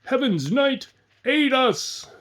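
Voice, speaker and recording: A man voicing ghostly medieval archers who shout war cries to their patron saint. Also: very high-pitched, very animated, slow, pitched above the performer's own voice, full character voice. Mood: fervent.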